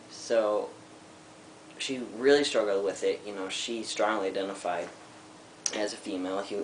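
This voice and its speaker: A young man with a very low voice talks candidly into a webcam, reflective and halting, often stopping to search for words. The room sounds echoey, with a faint hiss under him. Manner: candid, reflective, hesitant with many ums. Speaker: vlogger. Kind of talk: personal storytelling to camera.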